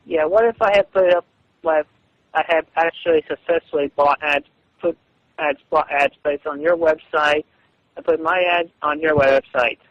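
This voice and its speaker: A man on a phone call, arguing defensively in a halting, repetitive way. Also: on the high side, steady tone, no room sound. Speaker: webcomic artist. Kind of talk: phone argument. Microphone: telephone.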